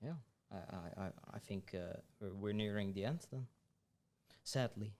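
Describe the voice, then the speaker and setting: A young man hosting a student podcast, speaking hesitantly with many pauses and giggles. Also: on the low side, warm tone. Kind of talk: casual interview chat.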